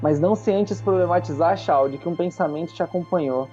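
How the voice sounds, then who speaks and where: Mellow Brazilian man narrating over music. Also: on the high side, fairly quick. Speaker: game master. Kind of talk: narrating a tabletop roleplay audio drama. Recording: muffled lo-fi audio under music. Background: background music.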